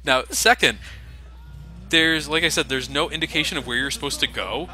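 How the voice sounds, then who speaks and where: A man's voice: fairly high pitch, moderate pace, pushed and bright, faint music behind. A man giving casual, sarcastic commentary over a video game, joking about its flaws. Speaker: let's play commentator.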